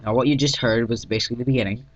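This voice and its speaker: A man giving an amateur dramatic reading of his own story, halting and often stumbling and correcting himself. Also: mid-range voice, steady tone. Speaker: reader of own fan fiction. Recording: dull lo-fi mic in echoey room.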